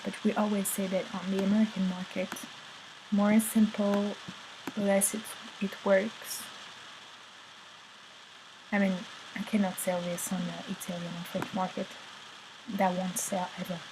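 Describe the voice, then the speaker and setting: Slow, easygoing woman tutorial host. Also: mid-range voice, background noise. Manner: relaxed, talks while working, many ums. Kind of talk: screen-recorded tutorial walkthrough.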